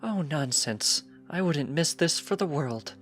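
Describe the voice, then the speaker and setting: A man's voice: fairly high pitch, lively intonation, a little slow, a bit bright, soft background music, voice pushed up. A man voicing a careful, fretful female pony, a precise and chiding wife in a storybook reading. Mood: reassuring.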